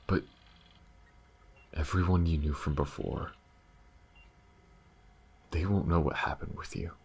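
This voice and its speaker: A man playing a gentle, battle-weary alien lover in an intimate ASMR roleplay, speaking softly and haltingly with a husky, breathy voice. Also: a bass voice, fairly even intonation, exaggerated voice. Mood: tender, earnest. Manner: soft, halting, hesitant, sighing delivery.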